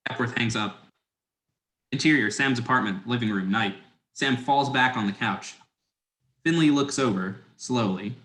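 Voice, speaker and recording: A man's voice: mid-range voice, moderate pace, pauses often, sharp tone. A man giving scripted narration, reading stage directions in a roomy, echoing recording.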